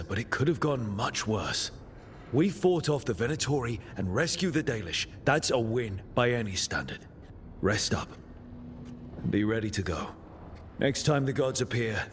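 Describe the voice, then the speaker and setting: A man acting as a steady hero of a fantasy game, mid-pitched and earnest. He sounds firm and encouraging, like a leader giving orders. There is faint ambience behind the voice. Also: unhurried, long pauses, slight background noise, close to an everyday voice. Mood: determined, commanding. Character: steady, resolute male leader rallying his team. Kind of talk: cinematic video game voice acting.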